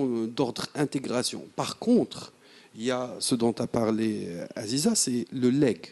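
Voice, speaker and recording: Panel guest: middle-aged man, earnest and reflective, mid-pitched, mixes French and Moroccan Arabic. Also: a little mellow. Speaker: panelist, radio host. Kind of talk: panel discussion.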